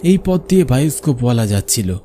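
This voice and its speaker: A man's voice: mid-range voice, very animated, quick pace, dark tone, a little hiss or hum, close to an everyday voice. A man playing a frightened village showman, narrating his own horror story for a cartoon. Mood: haunted, tense. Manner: dramatic storytelling. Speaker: narrator and main character. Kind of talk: scripted first-person horror cartoon narration.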